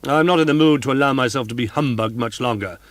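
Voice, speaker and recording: A man voicing an irate, pompous male opera manager who shouts and blusters in an audiobook reading. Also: mid-range voice, fast talker, no echo, voice pushed up, close to an everyday voice. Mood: stern.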